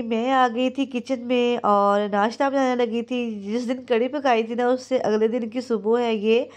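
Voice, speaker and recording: A woman in her thirties with a Pakistani Urdu accent, chatting through a home vlog and voice-over, hoarse from a sore throat and cold, homely and confiding. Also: on the high side, a little mellow, lo-fi sound. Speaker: home cooking vlogger. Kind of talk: casual vlog chat and voice-over. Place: small room at home, indoors.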